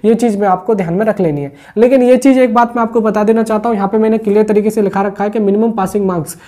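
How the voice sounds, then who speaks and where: Male online exam-update host, rapid pace, mellow tone, informal explainer, repetitive phrasing, frequent check-in tags. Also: high-pitched, steady tone.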